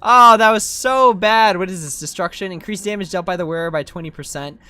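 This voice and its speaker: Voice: medium pitch, brisk, pushed and bright, no echo. A game streamer giving casual live commentary in an informal, self-questioning way. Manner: informal, self-questioning, often ends with "right?".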